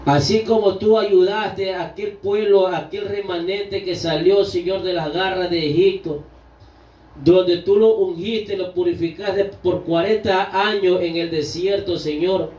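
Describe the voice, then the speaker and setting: A man's voice: high voice, steady tone, a little slow, darkish tone. A middle-aged man leading a spontaneous, pleading prayer, calling on the Lord after nearly every phrase, with frequent restarts. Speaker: prayer leader. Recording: roomy, distant, dull lo-fi sound.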